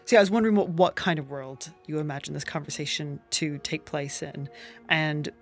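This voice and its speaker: A man's voice: on the high side, steady tone, brisk, gravelly, faint music behind. A man interviewing in a casual, conversational way, feeling out questions tentatively and in a roundabout manner.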